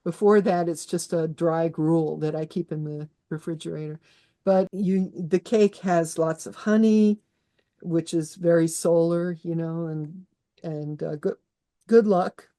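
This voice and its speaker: Mellow-voiced woman guest, chatty and lowish. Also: steady tone, unhurried. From Austria. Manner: chatty, many restarts, laughs while explaining. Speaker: author and herbalist guest. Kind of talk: conversational interview.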